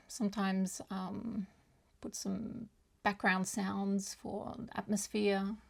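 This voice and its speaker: A woman's voice: medium pitch, vocal fry, husky. A woman whose first language is German, chatting casually to viewers as a book vlogger in a rambling, hesitant way that often loses its thread.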